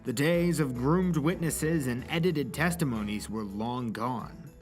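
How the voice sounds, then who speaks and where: A man's voice: medium pitch, unhurried, soft background music. A man reading scripted, dramatic story narration as the narrator of an audio drama.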